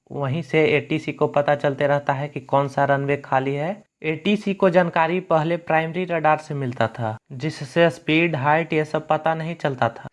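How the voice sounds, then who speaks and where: Higher-voiced man narrating an explainer. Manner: plain, step-by-step teaching. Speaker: educational video narrator. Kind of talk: explainer narration in simple colloquial Hindi.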